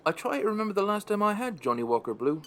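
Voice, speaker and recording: A man's voice: sits high, sing-song, fast talker, a bit bright. A man playing a middle-aged pub landlord who narrates a radio play in a dry, understated, wry way. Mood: wry, companionable.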